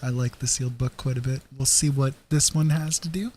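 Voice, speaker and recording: A man chatting casually on his livestream. His voice is mellow and mid-pitched, and he rambles in a friendly, relaxed way. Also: brisk, slight background noise. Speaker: livestream host.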